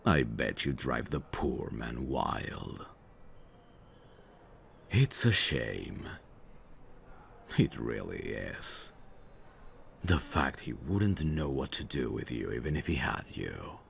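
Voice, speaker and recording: A man's voice: a bass voice, big pitch swings, slow, gravelly, long pauses, slight background noise, exaggerated voice. A man playing a suave, dangerous mafia boss in an ASMR roleplay, cocky, flirtatious and teasing. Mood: teasing, possessive. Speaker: voice actor.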